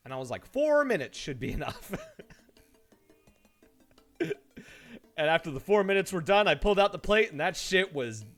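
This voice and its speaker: Guy streamer w a slightly high voice just chatting away, animated storyteller, faint music behind. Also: normal speed, long pauses. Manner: animated storytelling, mimics his own reactions; laughs easily while talking. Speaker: live streamer. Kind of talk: casual chat with viewers on a game stream. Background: background music.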